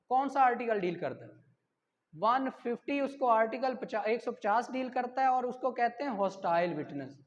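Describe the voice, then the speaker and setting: High-voiced Pakistani male teacher, echoing hall. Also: highs cut off. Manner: didactic, repeats key terms, poses questions then answers. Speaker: law teacher. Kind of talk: exam-prep lecture, reading questions and explaining. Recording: long echoing reverb of a hall.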